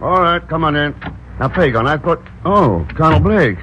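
An actor playing a calm, wry secret agent with a dry, understated delivery, in a 1950s radio drama. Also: male voice, fairly high pitch, normal speed, background noise, natural voice. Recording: dull lo-fi old radio recording.

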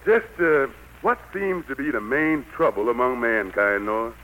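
A man playing the Lord as a gentle but stern, folksy preacher-like figure with a Southern US accent, in 1940s radio drama style. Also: mid-range voice, unhurried, slight background noise, close to an everyday voice, rough recording. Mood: courteous. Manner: folksy, admonishing. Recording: vintage 1940s radio broadcast.

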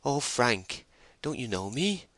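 A man's voice: mid-range voice, sing-song, slow, fairly bright tone, dry recording, pitched above the performer's own voice. Man voicing a timid, tremulous, pleading young woman. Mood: anxious hope.

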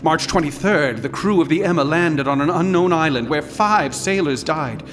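An actor playing an intent investigator, narrating deliberately in a radio drama. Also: male voice, fairly high pitch, lightly acted. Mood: intent, brooding. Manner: deliberate, listing dates. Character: intent investigator piecing together strange events. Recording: music playing behind the voice.

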